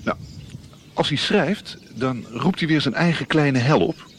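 An actor playing a wry, worldly court musician in a radio drama, speaking in a laconic, knowing manner. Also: male voice, on the high side, measured pace, a little hiss or hum. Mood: dryly knowing. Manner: laconic, dry, expressive.

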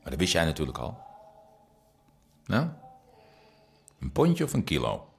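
An actor playing a falsely friendly drug pusher in a radio drama, casual and slyly threatening. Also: male voice, sits low, wildly swooping pitch, a little slow, gravelly, soft background music, exaggerated voice. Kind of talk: naturalistic Dutch radio drama acting.

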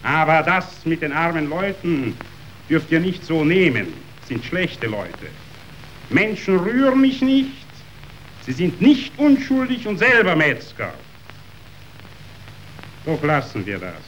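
An actor playing a cynical, brooding meat magnate in a declaimed radio drama. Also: male voice, a little high, sing-song, fairly bright tone, dull audio, slight background noise, full character voice. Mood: declamatory, scornful. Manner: declamatory, deliberate.

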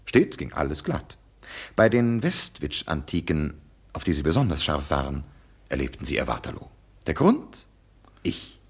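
A confident, sharp-witted detective in a radio drama. He has a very low, slightly husky voice with lively swings in pitch. His delivery is dry and accusing. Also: normal speed, heavily acted.

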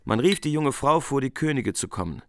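A man narrating a medieval epic in a German radio play, composed and clear in his storytelling. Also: mid-range voice, expressive, fairly quick, background noise, natural voice.

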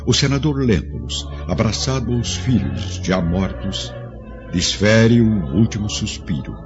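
Low-voiced man narrating a radio play, serious, loud music under, muffled sound. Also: very animated. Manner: grave, measured storytelling. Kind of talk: scripted radio drama narration. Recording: dull lo-fi audio with strong music.

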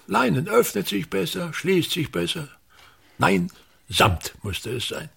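An actor playing a pompous, bitter, tyrannical ageing actor-manager in a radio play, declaiming and haranguing with a husky voice. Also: male voice, mid-range voice, fairly bright tone, heavily acted. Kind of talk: theatrical radio play monologue.

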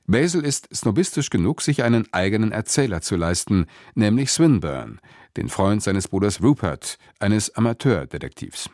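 A man's voice: lowish voice, sing-song, moderate pace, a little mellow, dry recording, clean studio sound. A man presenting on radio, reading a scripted literary introduction to a drama.

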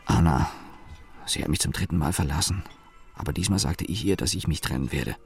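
A man's voice: very deep voice, fairly quick, gravelly, faint music behind. A man playing a weary, cynical office engineer in a radio play, muttering a sardonic monologue in clipped fragments. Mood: aggrieved, dry.

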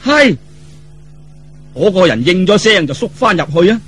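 A man's voice: high voice, expressive, fast talker, breathy edge. A man with a Cantonese accent narrating a martial arts tale in a colloquial, dramatic traditional storytelling style, with asides to the listener. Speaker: audiobook storyteller.

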